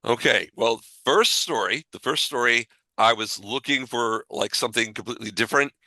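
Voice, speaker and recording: A man's voice: medium pitch, normal speed, sharp tone, dry recording, rough recording. A man co-hosting a casual podcast, giving his opinions emphatically and repeating phrases to make his point. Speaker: podcast co-host.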